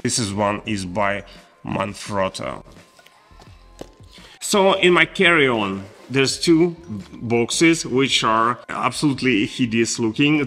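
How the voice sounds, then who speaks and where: A man's voice: medium pitch, fairly bright tone, big-room sound. A man in his forties from Estonia, a native Russian speaker with a Russian accent, chatting enthusiastically in a rambling fashion haul vlog, recorded on a chest mic in a large loft room. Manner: enthusiastic, rambling, gushing superlatives. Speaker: fashion vlogger.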